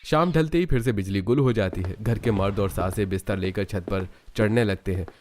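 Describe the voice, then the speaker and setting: A man's voice: lowish voice, a little fast, a little mellow, slight background noise. A man narrating a scripted cartoon story.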